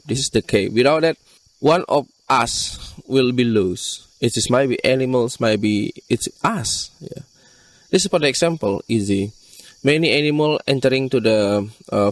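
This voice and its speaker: A man from Borneo with an Indonesian accent, giving earnest, halting interview answers in non-native English. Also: mid-range voice, recorded from a distance. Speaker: conservation group leader being interviewed.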